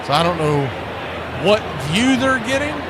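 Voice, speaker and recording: A man doing folksy live baseball play-by-play as stream host, moving between casual asides and excited calls. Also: high voice, moderate pace. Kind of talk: live radio-style sports commentary with casual chat. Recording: loud steady background noise.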